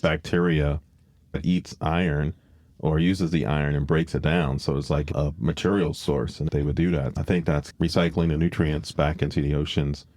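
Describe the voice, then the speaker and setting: Very deep-voiced man explaining casually. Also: little pitch movement, normal speed, a little mellow, dry recording, clean studio sound. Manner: explanatory, thinking aloud. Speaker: podcast host.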